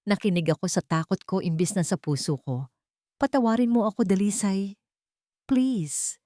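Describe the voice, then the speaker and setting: A woman's voice: medium pitch, normal speed, mellow, no echo, close to an everyday voice. Woman narrator as emotional rich filipino lady in a love story, hurt and earnest, dry close audio. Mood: remorseful. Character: wounded, remorseful wealthy woman, emotional and intense.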